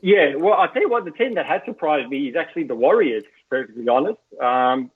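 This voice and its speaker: A man's voice: on the high side, animated, breathy edge. Australian man on a tinny line. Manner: chatty and opinionated, fills pauses with "look" and "you know". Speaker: guest, basketball journalist. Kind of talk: casual podcast chat.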